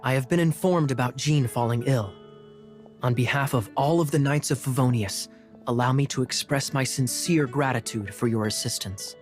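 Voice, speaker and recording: A man voicing a smooth, wry knight captain with courtly manners and soft chuckles, for a game. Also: mid-range voice, moderate pace, faint music behind, natural voice. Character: suave, scheming young knight captain. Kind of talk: game voice acting.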